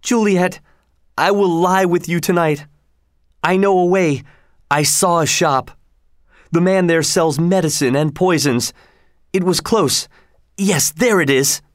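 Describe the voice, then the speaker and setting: A man's voice: a little high, slow, long pauses, no room sound, clean studio sound. A man reading aloud, voicing an impulsive young lover who talks with urgency. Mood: urgent. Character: young male lover, passionate and rash.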